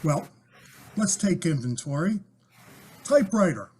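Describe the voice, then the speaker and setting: An actor playing a brisk, confident, reassuring police inspector in an old time radio drama. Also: male voice, a little high, very animated, very noisy. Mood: brisk, official.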